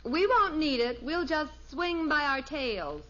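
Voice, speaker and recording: Wry, worn-out housewife mom, highish and unhurried, on a muffled vintage radio recording. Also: female voice, animated, fairly bright tone, exaggerated voice. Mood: dry. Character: weary, wry middle-aged sitcom mother. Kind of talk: 1950s radio sitcom acting.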